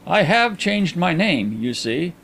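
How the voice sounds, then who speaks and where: A suave, self-assured gentleman, as a male narrator plays him, with a raised, lilting pitch. He speaks with tranquil courtesy and easy good humour, in the manner of a storybook character. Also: very animated, slow pace, vocal fry, exaggerated voice. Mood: courteous, good-humoured. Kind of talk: audiobook character acting.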